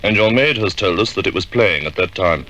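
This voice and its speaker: A man acting a shrewd, authoritative middle-aged police superintendent in a 1950s radio drama, measured and decisive. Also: low-pitched, lively intonation, bright, forceful tone, highs cut off, faint music behind. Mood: grave, firm.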